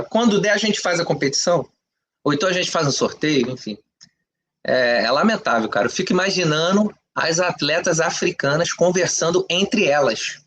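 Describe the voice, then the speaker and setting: A man's voice: on the high side, fairly bright tone, slight background noise. A man from Brazil co-hosting a podcast, giving emphatic opinions in casual talk with frequent rhetorical questions and tag questions. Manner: conversational, frequent "entendeu?" and "né?" tags. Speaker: podcast co-host.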